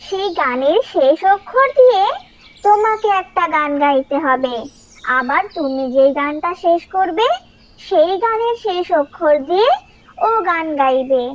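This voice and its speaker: A woman's voice: extremely high pitch, lively intonation, measured pace, lo-fi sound. A woman voicing a small cheerful talking bird who leads games in a children's puppet show, in a childlike sing-song manner. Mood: cheerful, instructing.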